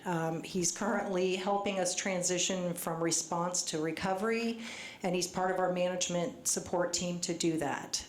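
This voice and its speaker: A soft-spoken woman presents at a public meeting, sounding a little nervous as she reads from her notes. Her voice sits low for a woman. It rings around an echoey meeting hall, with a faint hiss of noise underneath. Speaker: state agency official presenting. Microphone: handheld microphone.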